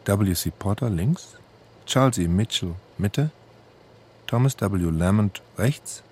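A man's voice: fairly low pitch, expressive, slow pace, a little mellow, slightly rough. A man reading scripted literary narration in a factual, matter-of-fact manner. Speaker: reader.

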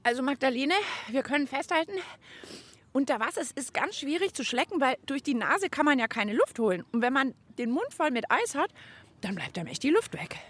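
A woman hosting a children's radio show, chatting in a playful, expressive way. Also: sits high, a little fast. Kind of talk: lively children's show chat.

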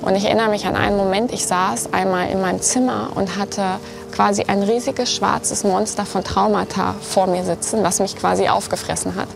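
A woman's voice: mid-range voice, fairly even intonation, moderate pace, vocal fry, over music. A woman recounting her own experience as a documentary interviewee, reflective and candid. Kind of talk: personal testimony.

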